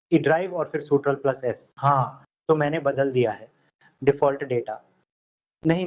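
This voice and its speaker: A man teaching accounting software step by step, in steady instructional tones over a screen recording. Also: fairly high pitch. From India. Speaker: software tutor. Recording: dull lo-fi audio.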